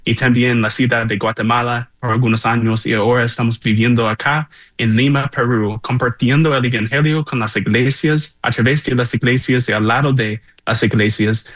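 A man's voice: medium pitch, steady tone, normal speed, hardly any pauses, a bit bright, no echo. Earnest man speaking Spanish. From Texas, United States. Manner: earnest, with restarts and hesitations. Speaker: missionary guest. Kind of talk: interview answers.

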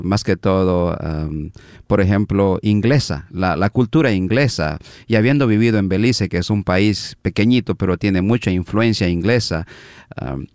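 A middle-aged man from Guatemala, a minister being interviewed, telling a story in an unhurried, reflective way with many fillers. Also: low voice, a little mellow, smooth, dry recording, studio quality.

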